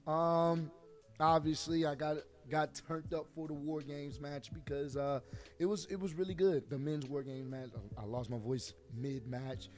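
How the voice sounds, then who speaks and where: A man reviewing a wrestling show with excitement and slang, his voice hoarse from losing it. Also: a little high, moderate pace, faint music behind. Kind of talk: energetic review chat.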